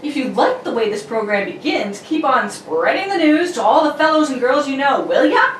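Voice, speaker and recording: A woman's voice: high-pitched, lively intonation, moderate pace, distant mic. A woman announcer reading old-time radio serial narration in a theatrical, playful amateur style. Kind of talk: amateur read of 1940s radio narration and sponsor ad. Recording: roomy, off-mic, faint noise.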